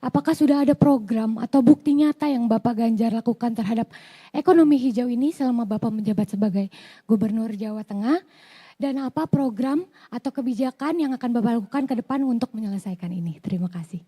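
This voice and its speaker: A young woman with a somewhat high but very dark, mellow voice that sounds hoarse. She chairs a youth organization and puts a polite, formal question to a candidate. Her greeting and her question are both carefully courteous. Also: fast.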